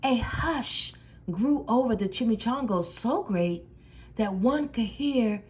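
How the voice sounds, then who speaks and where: A woman reading a children's storybook aloud as narrator, with expressive, theatrical and deliberate phrasing. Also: a little high, a little hiss or hum. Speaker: storybook reader.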